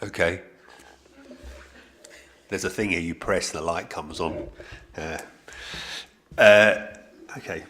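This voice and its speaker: A man reflecting on an academic conference as a panelist, starting haltingly with many fillers. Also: deep voice, a bit bright. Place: conference hall.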